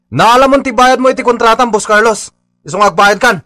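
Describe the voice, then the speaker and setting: A man's voice: very high voice, fairly quick, bright, forceful tone, no echo. An actor in a radio drama playing a stubborn labourer who confrontationally demands his unpaid wages. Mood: indignant. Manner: insistent, confrontational.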